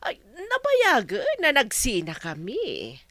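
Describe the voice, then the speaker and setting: A woman's voice: a little high, wildly swooping pitch, slow, fairly bright tone, no echo, full character voice. A well-off, breezy woman back among old friends in an Ilocano radio comedy. Her Ilocano-accented speech is leisurely and swings widely up and down, recorded dry and close. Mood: breezy, generous. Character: generous, well-off woman, returning high school best friend.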